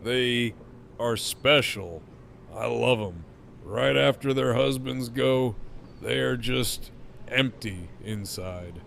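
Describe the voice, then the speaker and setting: An actor playing a smug, sadistic gang leader with mocking, deliberate menace in an audio drama. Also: male voice, medium pitch, vocal fry, fairly bright tone, slight background noise, heavily acted. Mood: leering.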